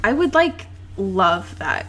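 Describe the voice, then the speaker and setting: A woman's voice: medium pitch, sing-song, slow pace, a bit bright. A woman co-hosting a podcast in casual chat, with an irreverent, teasing, sweary way of talking. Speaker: podcast co-host.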